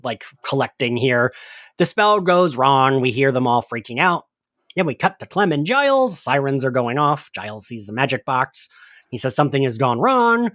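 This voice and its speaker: Animated man hosting a chatty recap podcast. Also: medium pitch, moderate pace, no echo, studio quality. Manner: animated, giggly, casually sweary. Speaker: podcast host. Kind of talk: casual recap chat.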